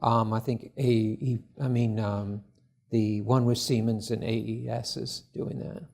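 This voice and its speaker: A man presenting his startup at a conference forum, answering judges' questions in a halting, earnest way full of restarts. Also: on the low side, level tone, vocal fry, a little mellow. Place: conference hall.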